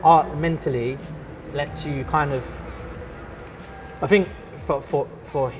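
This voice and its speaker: A man, an artist and teacher chatting casually in an interview, relaxed and full of fillers and restarts. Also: on the high side, slow, background noise. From London.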